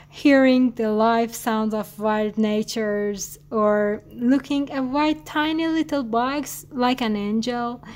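A woman from Iran with an Iranian accent, a native Farsi speaker, answering interview questions in halting English and searching for words. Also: on the high side, darkish tone. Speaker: guest artist.

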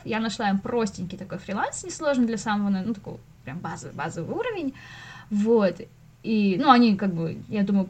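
A woman chatting casually as a podcast guest, an animation teacher who tells stories expressively and often acts out quotes with laughter. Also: mid-range voice. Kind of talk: casual interview chat.

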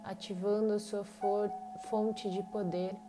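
A woman with a Brazilian accent leads a guided meditation in short, unhurried phrases separated by long pauses. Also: medium pitch, level tone. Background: meditation music with singing bowls.

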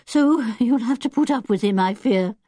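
A woman's voice: a little high, moderate pace, mellow, dry recording, studio quality, pitched above the performer's own voice, close to an everyday voice. A woman voicing a kindly, chatty, fretful older lady in a children's audiobook, genteel and fussing, with a British accent. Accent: British English. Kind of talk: children's audiobook character acting.

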